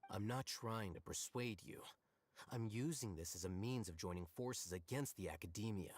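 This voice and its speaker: An actor playing a calm, confident male scholar and scribe in a video game, speaking with composure and persuasion. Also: fairly low pitch, normal speed, natural voice. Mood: composed.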